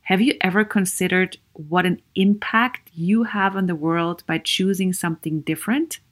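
A woman psychologist from Austria with a Viennese accent hosts her own podcast alone. She talks earnestly and encouragingly, asks her listeners many questions and fills pauses with "you know.". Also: fairly low pitch. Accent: Viennese Austrian German. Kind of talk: solo podcast monologue.

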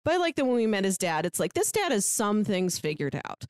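A woman co-hosting a podcast, casually discussing a book in a reflective, analytical way, pausing to find words. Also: medium pitch, big pitch swings, clear, resonant tone, no room sound, clean studio sound. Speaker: podcast co-host.

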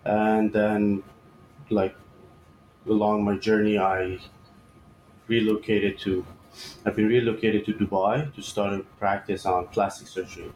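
A man in his thirties from Iran speaks in a fairly low voice, answering interview questions slowly and hesitantly. His words come with many pauses and small restarts. The recording sounds roomy, with a faint hiss behind him. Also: flat delivery, darkish tone. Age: 30s. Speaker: guest surgeon.

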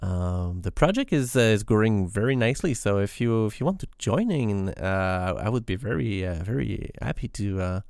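Man, Québécois French accent, somewhat low voice, smooth and clear, solo tech podcast host. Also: lively intonation, a little mellow, no echo, studio quality. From Quebec, Canada. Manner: halting, many restarts and fillers.

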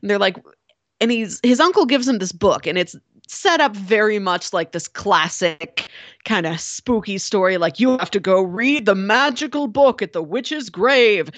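A woman's voice: medium pitch, animated, normal speed, no echo. Woman co-host in dry, close sound. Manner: acts out imagined dialogue while explaining. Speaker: podcast co-host discussing films. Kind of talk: casual film discussion.

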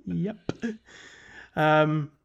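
A man's voice: on the high side, creaky. A man co-hosting a casual gaming podcast, thinking aloud with some hesitation as he recaps. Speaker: podcast co-host.

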